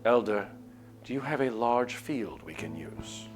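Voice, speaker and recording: A man's voice: medium pitch, expressive, a little slow, natural voice. Man playing haughty visual novel nobleman, icy, commanding, formal, raised and firm over background music. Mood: irritated, commanding. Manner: imperious, formal, sighing.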